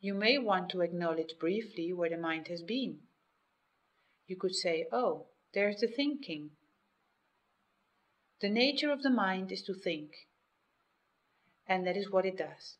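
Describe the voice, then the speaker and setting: A woman leading a guided meditation in a measured, instructional way, speaking in short phrases with pauses. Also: medium pitch, lively intonation. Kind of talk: guided meditation, scripted narration.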